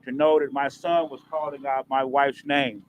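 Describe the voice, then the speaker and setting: A man's voice: medium pitch, flat delivery, normal speed, slight background noise. A middle-aged man making a plain-spoken public statement outdoors, as a bereaved father.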